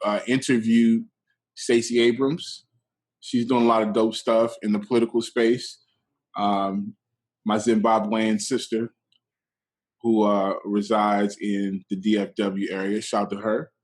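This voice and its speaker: A man co-hosting a podcast, giving relaxed shout-outs and announcements in casual chat. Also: fairly low pitch. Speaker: podcast co-host.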